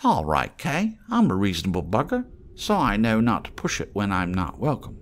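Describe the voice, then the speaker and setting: A man voicing an eccentric old tramp trader in an audiobook, wheedling in excitable, salty, rambling patter. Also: lowish voice, moderate pace, pitched above the performer's own voice. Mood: haggling, gleeful.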